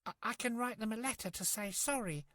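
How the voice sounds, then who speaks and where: A man's voice: high-pitched, a little fast, sharp tone, dry recording, voice pushed up. A man voicing a polite, earnest little girl in a fairy tale, exclaiming and hesitating childishly. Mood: apologetic. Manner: exclamatory, hesitant when explaining.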